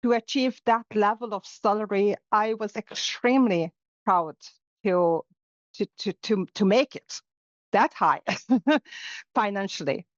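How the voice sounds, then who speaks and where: A woman's voice: medium pitch, slow, no room sound. A middle-aged woman from Hungary, a native Hungarian speaker, talking reflectively as an interview guest with many fillers and restarts. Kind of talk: casual interview conversation. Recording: dull, lo-fi sound.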